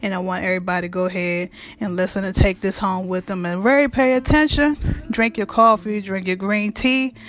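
Raspy, blunt woman hosting her show. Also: medium pitch, fairly even intonation, a little fast. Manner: blunt, frank, swears freely. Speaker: podcast host. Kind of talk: casual monologue.

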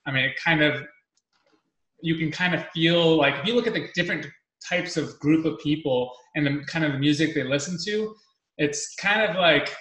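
A man in his thirties hosting a casual podcast interview, talking quickly and rambling, full of fillers and restarts. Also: on the high side, a bit bright, roomy sound, rough recording. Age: 30s. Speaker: podcast host.

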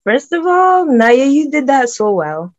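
A young woman from Barbados, chatting excitedly as a guest on a video call. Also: high voice, a little slow, muffled recording. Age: young adult. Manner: bubbly, excitable, laughs often. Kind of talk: casual video-call chat.

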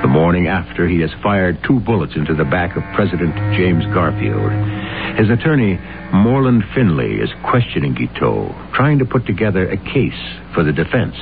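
A man's voice: deep voice, very animated, moderate pace, vocal fry. A man hosting and narrating a radio mystery drama in a solemn, theatrical way. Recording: tinny old radio broadcast with music behind.

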